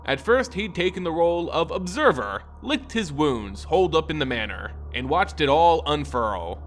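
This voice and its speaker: A man with a fairly high, very animated voice narrates a dramatic tale. His phrasing is theatrical and measured, and light music plays underneath. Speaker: lore channel narrator. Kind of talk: dramatic scripted story narration. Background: background music.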